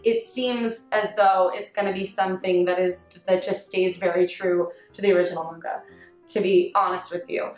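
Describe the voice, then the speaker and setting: A young woman in a casual fan discussion, excitable and giggly as she talks. She sounds like she is in an echoey room, with faint music playing underneath. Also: lowish voice, normal speed. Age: young adult. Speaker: panelist, amateur fan-dub voice actress. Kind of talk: casual chat about anime.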